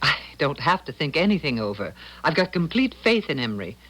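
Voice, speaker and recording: An actress in a 1970s radio drama playing a proud, blunt, suspicious doctor's wife with a tart, curt manner. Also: female voice, sits low, big pitch swings, fairly quick, fairly bright tone, heavily acted, rough recording. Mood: defiant.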